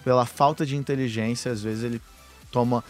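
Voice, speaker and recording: A man's voice: medium pitch, steady tone, brisk, soft background music. A man co-hosting a casual technology podcast, explaining at length with frequent fillers and restarts. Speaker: podcast co-host. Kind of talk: casual tech podcast chat.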